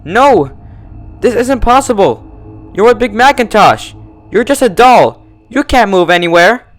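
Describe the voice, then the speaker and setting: A man's voice: sits high, big pitch swings, a little slow, faint music behind, pitched above the performer's own voice. A man voicing a frightened but defiant young female pony, snappy and agitated, in a horror fan fiction reading. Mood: panicked anger.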